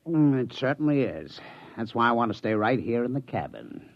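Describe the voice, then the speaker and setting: Wry American loafer, grumbling, sardonic, animated delivery, mid pitch, muffled old radio drama recording. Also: male voice, normal speed, no echo. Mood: wry, teasing. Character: lazy, wry city man, hard-drinking reluctant camper. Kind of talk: 1950s radio drama acting. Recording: dull vintage radio recording.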